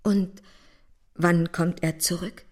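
Timid, very slow, breathy mother. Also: on the low side, steady tone, a little mellow. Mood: anxious, shaken. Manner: hesitant, faltering. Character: timid, frightened mother seeking her lost son. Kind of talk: radio play dialogue.

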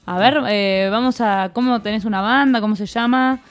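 A woman co-hosting a radio comedy in a studio at an ordinary middle pitch. She is polite and mock-formal as she questions guests. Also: normal speed, faint music behind. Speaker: radio co-host. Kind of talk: comic radio banter.

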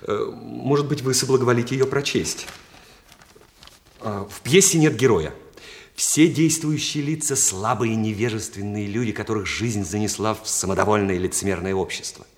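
An actor playing an insinuating, mock-polite playwright in a radio play, with hesitant hums and repeated phrases. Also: male voice, lowish voice, normal speed, close to an everyday voice. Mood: eager, pushy. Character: insinuating, oily male playwright peddling his play. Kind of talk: Russian radio play acting. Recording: echoey hall, long reverb.

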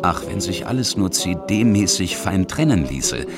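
A man's voice: low-pitched, sing-song, measured pace, over loud music. A middle-aged man narrating a scripted radio feature as its author.

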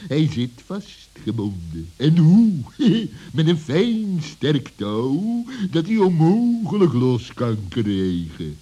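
Husky, slow, gloating fox villain. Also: male voice, fairly high pitch, wildly swooping pitch, dark tone, muffled recording, heavily acted. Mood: smug. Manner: pompous, theatrical. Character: sly boastful male fox villain, gloating and scheming. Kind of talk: 1960s children's radio play.

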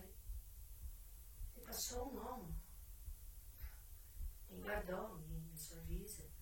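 A woman acting out a first-person memoir as a dramatic stage monologue, halting with pauses and repetitions. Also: lowish voice, a bit bright. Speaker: actress performing a solo stage monologue. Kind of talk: theatrical first-person storytelling of an adapted memoir. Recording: very echoey, distant mic, faint noise.

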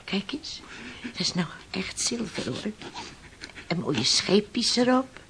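A woman's voice: mid-range voice, very animated, slow pace, noisy background. An actress playing a stern, desperate middle-aged fisherman's mother in a Dutch radio play, by turns bullying and coaxing. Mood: coaxing, tender. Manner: scolding and exclamatory, turning to pleading.

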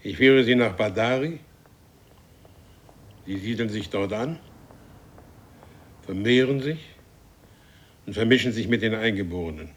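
An actor playing an imperious warrior from a future race in a radio play, with a commanding, grandiose and menacing way of speaking. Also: male voice, on the low side, a little slow, long pauses, breathy edge. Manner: commanding, grandiose, solemnly threatening. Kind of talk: German radio play acting.

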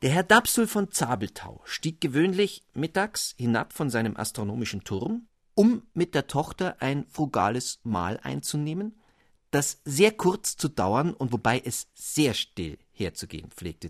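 Expressive male storybook narrator. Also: medium pitch, measured pace, no echo, studio quality.

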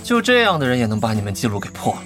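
An actor playing a mocking, cocky online guild veteran in an audio drama. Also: male voice, mid-range voice, sing-song, rapid-fire, a bit bright, soft background music. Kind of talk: Chinese audio drama acting.

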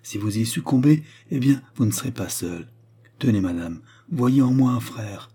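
A man's voice: medium pitch, animated, moderate pace, mellow, breathy edge. A man voicing a grave, devoted nobleman in love with a queen, solemn and intense, in a dramatized historical novel. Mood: solemn devotion.

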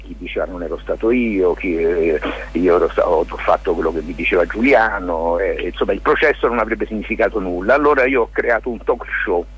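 A mature man, an interview guest, explains things informally and discursively, often hesitating, in a husky, rough voice. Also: on the low side, muffled recording. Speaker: lawyer and theatre maker, interview guest.

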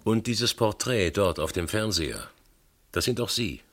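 An actor playing a matter-of-fact police detective in a German radio crime drama, questioning in a clipped, methodical way. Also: male voice, fairly low pitch, sing-song, normal speed, a bit bright, heavily acted. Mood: probing, matter-of-fact. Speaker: police investigator.

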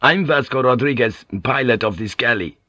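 A man voicing a boisterous, swaggering sea pilot who talks in a loud, jovial, profane way, on a dull cassette audiobook tape. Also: mid-range voice, moderate pace, no room sound, voice pushed up.

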